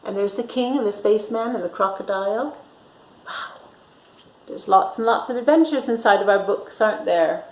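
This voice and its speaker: A woman's voice: medium pitch, normal speed. Sing-song storytime woman, echoey room. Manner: bright, sing-song storytime delivery with little character voices. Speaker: children's storyteller. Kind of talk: reading a picture book aloud.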